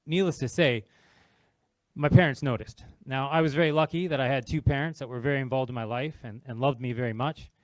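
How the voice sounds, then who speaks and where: A man's voice: mid-range voice, brisk, no echo, poor audio. A middle-aged man from Canada with a Canadian accent, telling a personal story at an industry event in a candid, conversational way and admitting he is nervous. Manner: nervous but candid, conversational, walking around while speaking. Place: event venue, in front of a live audience.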